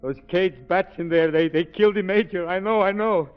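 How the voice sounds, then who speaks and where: An actor playing a fearful, superstitious manservant with a subdued, anxious, deferential manner, in 1930s radio drama style. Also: male voice, high-pitched, moderate pace, smooth, lightly acted. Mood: frightened. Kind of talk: 1930s radio thriller acting. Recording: tinny, band-limited 1930s radio recording.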